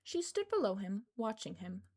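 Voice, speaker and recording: Woman reading a story, slightly creaky voice. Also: mid-range voice, sing-song, moderate pace, dark tone. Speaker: fan fiction podfic reader. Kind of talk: scripted story narration.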